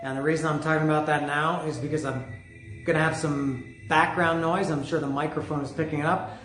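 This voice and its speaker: Loud rambling man with music outside. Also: a little high, level tone, brisk. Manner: chatty, rambling, with frequent restarts. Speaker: music podcast host. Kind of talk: casual monologue. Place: home, street music outside. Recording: echoey room with music outside.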